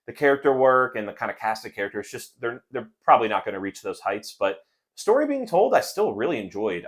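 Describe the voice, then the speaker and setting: A man hosting a casual book chat for viewers, speaking in an enthusiastic, positive way. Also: lowish voice. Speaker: book reviewer and video host.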